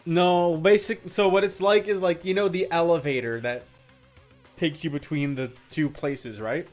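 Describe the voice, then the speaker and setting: A man's voice: on the high side, fairly even intonation, normal speed, a little mellow. A man chatting casually as he streams a game, informal and musing aloud. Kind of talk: casual gaming chat, commentary. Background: game music.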